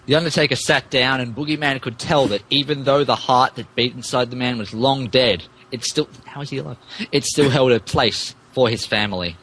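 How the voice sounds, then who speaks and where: A husky-voiced man narrating fan fiction as a podcast co-host, dropping in wry comic asides. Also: mid-range voice, brisk, fairly bright tone, a little hiss or hum, low-quality recording.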